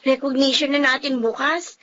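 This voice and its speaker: A woman's voice: high-pitched, fairly even intonation, moderate pace, pushed and bright. A woman playing a chatty, inquisitive schoolgirl in a Filipino radio drama. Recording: muffled radio-drama audio.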